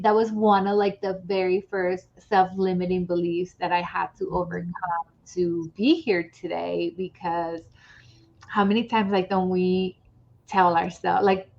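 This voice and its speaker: A woman from Mexico speaks English with a Spanish accent, her first language being Spanish. She chats casually as an interview guest, looping through thoughts with "like" and "right". The audio is a bit dull and lo-fi. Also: mid-range voice. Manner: chatty, lots of "like" and "right". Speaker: guest author and coach.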